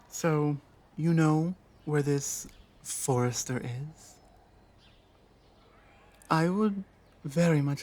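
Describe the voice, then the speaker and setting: Man playing a slow-talking, earnest, hesitant orc. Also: fairly high pitch. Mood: grateful, solemn. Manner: hesitant, halting, earnest, softly pleading. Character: lost male orc fugitive, earnest, honorable, humble. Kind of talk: ASMR roleplay audio drama.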